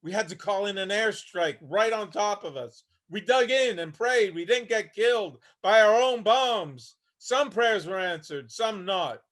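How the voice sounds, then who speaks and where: A man's voice: high voice, animated, a little slow, few pauses, fairly bright tone. A man acting a haunted, homeless older Vietnam veteran, weary and bitter, telling his story plainly in a staged play reading. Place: online video call reading.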